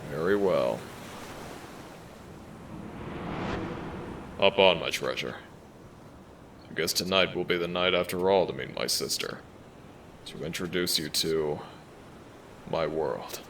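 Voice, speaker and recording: A man's voice: sits low, a little slow, creaky, long pauses, noisy background. A man voicing a protective, tender and worried dragon prince with earnest, formal phrasing, in a romantic audio roleplay. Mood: resigned, tender.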